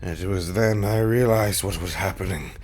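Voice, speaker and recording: A man playing a haunted, tender undead husband in an intimate audio roleplay monologue, speaking haltingly and often slipping into breathy whispers. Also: low voice, a little mellow. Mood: horrified, bitter.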